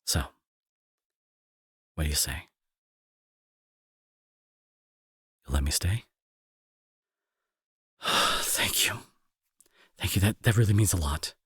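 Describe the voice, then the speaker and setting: A young man playing a remorseful bully turned tender caretaker in an intimate audio drama, speaking softly and earnestly with a breathy, husky, hesitant delivery. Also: low-pitched, animated, slow pace, long pauses, no echo, exaggerated voice. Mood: hesitant, grateful. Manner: soft, hesitant, earnest, reassuring.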